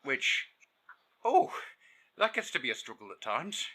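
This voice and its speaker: A man co-hosting a casual podcast, talking in an animated, rambling way with plenty of fillers and restarts. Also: mid-range voice, measured pace. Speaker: podcast co-host.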